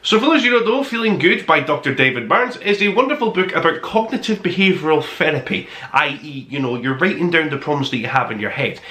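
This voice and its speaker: A man's voice: a little high, expressive, fast, few pauses, pushed and bright, echoey room. A man presenting a review podcast as its host, talking conversationally and emphatically to his listeners with enthusiasm. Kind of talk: conversational top-five review monologue to listeners.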